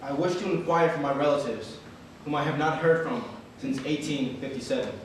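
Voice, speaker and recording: Echoing, higher-voiced man as solemn freedman. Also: fairly quick, a little hiss or hum, natural voice. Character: solemn freedman seeking his lost relatives. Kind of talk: dramatic reading of a historical ad. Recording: echoey hall from far off.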